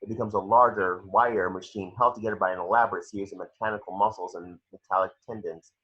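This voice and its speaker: Muffled low-voiced man reading a screenplay. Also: steady tone, fast talker, pushed and bright, breathy edge. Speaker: reader of action descriptions. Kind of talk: screenplay narration at a table read. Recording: muffled lo-fi home recording.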